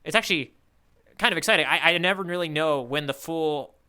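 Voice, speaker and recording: A man's voice: a little high, brisk, bright, forceful tone, clear, resonant tone. A man co-hosting a podcast, chatting casually and thinking aloud in a tentative way. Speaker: podcast co-host. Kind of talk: casual chat about a manga chapter.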